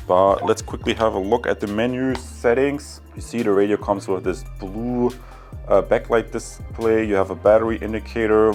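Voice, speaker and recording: A man hosting a casual, unscripted product review, talking informally and directly to his viewers. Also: fairly low pitch. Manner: informal, addresses viewers as guys.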